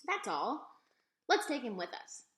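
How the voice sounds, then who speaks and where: A woman's voice: fairly high pitch, big pitch swings, a little slow, a bit bright, reverberant, slight background noise, pitched above the performer's own voice. A woman voicing an outspoken young girl devoted to her dog, pleading and direct, in a storybook reading.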